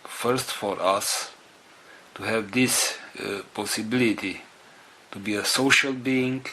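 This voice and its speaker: A man addresses his group with organizational news, speaking in halting, non-native English. His phrases come in short pieces with long pauses between them, at a very slow pace. His voice is lowish yet bright, and a faint hiss sits behind it. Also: fairly even intonation, rough recording.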